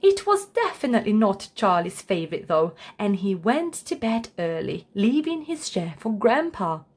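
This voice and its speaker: A woman's voice: mid-range voice, very animated, moderate pace, darkish tone. A woman reading a scripted children's story aloud as narrator.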